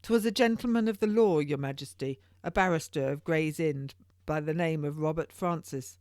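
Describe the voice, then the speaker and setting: A woman's voice: deep voice, moderate pace, a little mellow, no room sound, clean studio sound, natural voice. A woman voicing a small, well-fed male messenger who tells his news with relish and deference in archaic English for an audiobook. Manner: passionate, deferential archaic storytelling.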